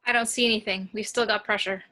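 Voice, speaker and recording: A woman reading a brisk, capable spaceship warrant officer at an amateur table read. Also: medium pitch, steady tone, bright, forceful tone, close to an everyday voice.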